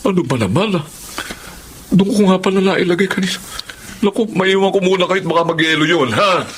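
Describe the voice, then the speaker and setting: A man's voice: high-pitched, fairly quick, fairly bright tone, slight background noise. A man playing a doting, jovial sixty-year-old Filipino stepfather in a radio drama, with an affectionate, exclamatory manner. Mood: lively, fussy. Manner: exclamatory, affectionate, chuckling asides.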